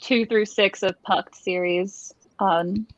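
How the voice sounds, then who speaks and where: A woman's voice: medium pitch, steady tone, slow pace, dry recording. A woman on a livestream panel, chatting casually. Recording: dull, dry lo-fi call audio.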